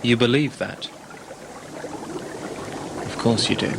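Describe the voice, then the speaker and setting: A man's voice: mid-range voice, takes it slowly, creaky, background noise. A man playing a sceptical, talkative young adventurer in a sci-fi audio drama, questioning and stumbling over his phrases. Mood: doubting, agitated.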